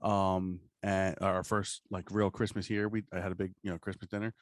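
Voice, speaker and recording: A man with an American accent and a slightly low voice talks as a podcast co-host. He rambles casually in slang and curses freely. The recording is close and dry, with hardly any room sound. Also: level tone, normal speed, no echo. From New Jersey, USA. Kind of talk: casual comedic chat.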